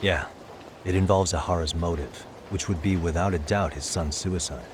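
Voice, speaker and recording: A man voicing a deep-voiced calm detective. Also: normal speed, noisy background, close to an everyday voice. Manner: level-headed, deductive, calm. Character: calm, sharp adult male detective. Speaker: private detective. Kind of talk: video game cutscene voice acting. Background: background music.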